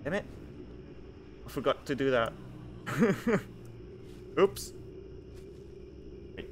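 A man's voice: medium pitch, lively intonation, extremely slow, a little hiss or hum. Chatty young man from Belgium streaming. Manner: chatty, repeats himself, reads game text aloud. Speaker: game streamer. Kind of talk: casual live-stream commentary.

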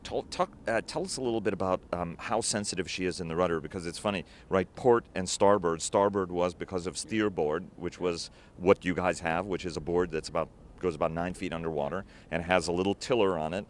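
A middle-aged male interviewer with a low voice asks long, well-informed questions. He is outdoors at a waterfront and holds a handheld mic, with faint noise in the background. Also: brisk, few pauses. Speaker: interviewer, editor. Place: outdoors on a wooden ship at a city waterfront.

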